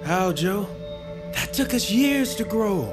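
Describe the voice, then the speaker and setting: A man's voice: sits high, lively intonation, slow pace, music in the background. An actor playing a bewildered, wounded country man, hesitant and plaintive. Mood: anxious.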